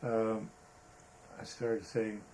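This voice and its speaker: An older American man telling anecdotes casually as an interviewee, haltingly searching for words. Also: lowish voice, monotone, a little mellow. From United States (Arizona). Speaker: interviewee, retired-era Hollywood photographer. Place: photographer's studio, indoors. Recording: noisy room ambience.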